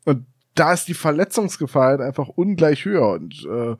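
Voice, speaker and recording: A man's voice: a little high, sing-song, normal speed, husky, no room sound, studio quality. A man co-hosting a podcast, talking casually and reasoning things out as he explains. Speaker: podcast co-host. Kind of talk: casual discussion.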